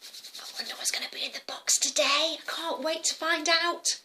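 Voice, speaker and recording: A curious little storybook girl, acted out by a woman, high and very animated. She is excitable and a little sneaky, drawing out her words in wonder. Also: moderate pace, slight background noise, heavily acted. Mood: eager, secretive. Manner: breathless wonder, stretched-out exclamations.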